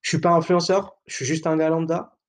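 A man's voice: a little high, little pitch movement, brisk. A young man hosting his own podcast in a casual, provocative monologue, joking around in crude slang with lots of fillers and hesitations. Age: young adult. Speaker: podcast host.

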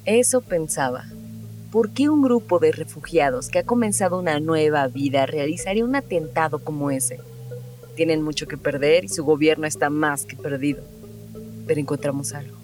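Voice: mid-range voice, normal speed, darkish tone, soft background music, natural voice. An actor playing a bitter, relentless officer interrogating suspects in a Spanish audio drama. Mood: threatening.

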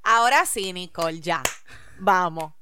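A woman's voice: fairly low pitch, lively intonation, unhurried, bright, forceful tone, a little hiss or hum. A woman co-hosting a podcast, talking in a reflective, emphatic and encouraging way. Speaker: podcast co-host. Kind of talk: casual chat.

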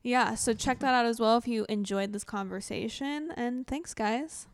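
Woman podcast host, casual and agreeable, slightly high voice, dry sound. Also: normal speed, no room sound. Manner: relaxed, agreeable, backchannels often. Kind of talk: casual chat.

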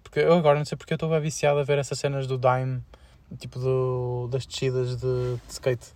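A young man with a European Portuguese accent and a medium-pitched voice. He chats informally as a podcast co-host, using plenty of slang and starting and restarting his phrases. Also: level tone, a little fast, a little mellow. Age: young adult. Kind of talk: casual unscripted chat.

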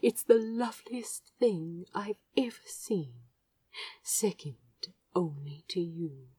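A woman voicing a tender, teasing prince in a romantic story, murmuring softly and breathily. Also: on the low side, lively intonation, takes it slowly, breathy edge, no echo, distant mic, exaggerated voice. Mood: whispered adoration.

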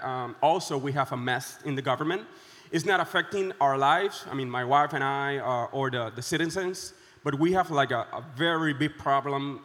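A man from Puerto Rico, a visiting pastor speaking with many pauses and fillers, good-humored on a church stage. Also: medium pitch, a bit bright, long reverb tail. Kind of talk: casual onstage interview. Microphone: handheld mic.

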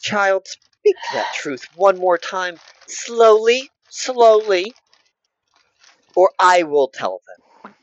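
A man voicing a stern old motherly deity who speaks slowly and draws out the word "child.". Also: high voice, animated, dry recording, dull audio, voice pushed up, heavily acted. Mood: commanding. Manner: slow, commanding, draws out "child".

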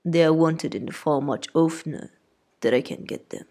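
A woman doing a dry, sardonic old gentleman. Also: deep voice, close to an everyday voice. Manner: cool, deliberate, mocking.

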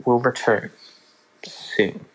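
Young guy doing his own podcast, talks real slow, keeps tripping over his words and starting over. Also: mid-range voice, fairly even intonation, poor audio. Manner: halting, restarts and fillers, slow unhurried delivery. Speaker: podcast host. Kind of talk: casual solo podcast announcements.

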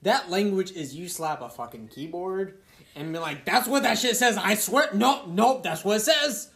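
A man co-hosts a podcast with very animated, swooping intonation. He banters casually, cracking jokes and swearing freely. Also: high voice, normal speed, reverberant. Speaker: podcast co-host.

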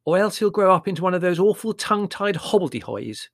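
A man's voice: high voice, lively intonation, fast talker, no echo, clean studio sound, pitched above the performer's own voice. A man voicing a prim, scornful young woman, a sister in an audiobook, speaking emphatically. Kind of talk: audiobook character voice.

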